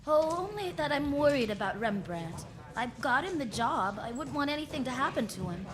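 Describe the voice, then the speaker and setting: A woman's voice: high voice, big pitch swings, moderate pace, a crowd in the background. A woman playing a wry, flirtatious, self-assured private eye in the style of 1940s radio drama, full of slangy quips. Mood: teasing. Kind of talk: 1940s radio drama acting with first-person narration.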